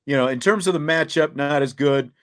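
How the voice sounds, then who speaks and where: A man's voice: a little high, fairly quick. Casual male sports talk host.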